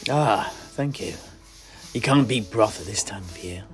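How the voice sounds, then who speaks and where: A man playing a wry, hospitable tavern keeper in a fantasy audio drama, talking in a measured, old-fashioned way. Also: lowish voice, expressive, faint music behind. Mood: genial, wry. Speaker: host.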